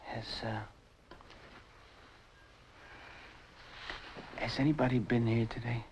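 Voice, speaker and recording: An actor playing a shifty, smooth-talking crook in an old crime drama, coaxing, hesitant and pleading under pressure. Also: male voice, mid-range voice, flat delivery, brisk, a little mellow, muffled recording, slight background noise, natural voice. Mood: cautious.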